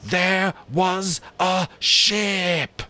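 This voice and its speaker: A man's voice: high voice, steady tone, extremely slow, a little hiss or hum, pitched above the performer's own voice, heavily acted. A man doing an angry boy chief. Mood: accusing. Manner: loud, accusing.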